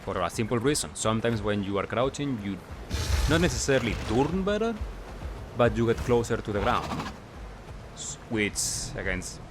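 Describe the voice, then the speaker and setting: A man giving casual, explanatory gameplay commentary, halting and correcting himself as he reasons through his points. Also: fairly low pitch, big pitch swings, over music. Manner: halting, self-correcting, asks and answers own questions. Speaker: gamer, video commentator.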